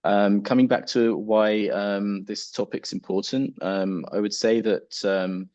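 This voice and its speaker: Low-voiced English man, dry muffled audio. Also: steady tone, unhurried, darkish tone, dry recording. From England. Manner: hesitant, many ums, polite. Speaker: panelist, music export professional. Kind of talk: conference panel talk.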